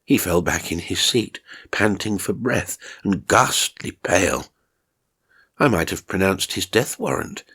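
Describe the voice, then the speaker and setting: A man's voice: sits low, lively intonation, unhurried, fairly bright tone, husky. A man narrating a first-person horror story in a dramatic audiobook style.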